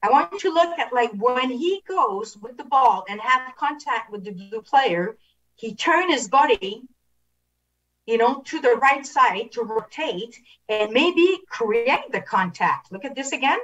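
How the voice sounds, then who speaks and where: A woman's voice: on the high side, animated, unhurried, fairly bright tone, recorded from a distance, muffled recording. A middle-aged woman instructing referees over a video call, analysing clips with repeated phrases and rhetorical questions. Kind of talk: video analysis coaching at an online clinic.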